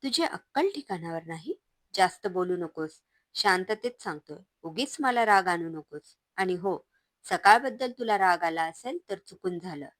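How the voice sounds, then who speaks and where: Woman voicing an angry loud husband. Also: lowish voice, animated, normal speed, no room sound. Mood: placating. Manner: shouting, scornful, loud. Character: angry domineering husband, a man.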